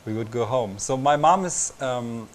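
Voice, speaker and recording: A young man from Austria with an Austrian accent, a native German speaker, chatting as a guest in halting English full of fillers. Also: mid-range voice, expressive. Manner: halting, searching for words, many fillers. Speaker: visiting reporter, guest. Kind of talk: casual interview. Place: tv station room.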